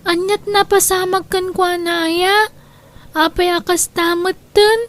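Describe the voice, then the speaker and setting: A woman's voice: very high-pitched, steady tone, a little slow, a bit bright. A woman playing a worried young grandchild in a radio drama, plaintive, anxious and protective. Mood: sad, longing.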